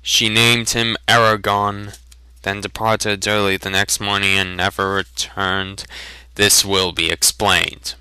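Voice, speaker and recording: Male storyteller, bright and smooth, deliberate dramatic delivery, very dry sound. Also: on the low side, level tone, dry recording, poor audio. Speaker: reader of a fantasy novel. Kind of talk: dramatic audiobook reading with joking asides.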